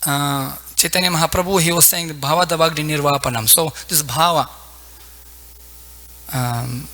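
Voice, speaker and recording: Bright-voiced man preaching in halting phrases. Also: a little high. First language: not English. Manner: halting, searching for words, short broken phrases. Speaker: preacher giving a scripture lecture. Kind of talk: devotional sermon with Sanskrit quotations.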